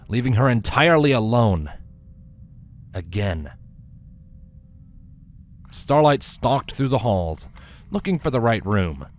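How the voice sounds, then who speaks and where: A man narrating a scripted story in a dramatic, expressive storytelling manner. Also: mid-range voice.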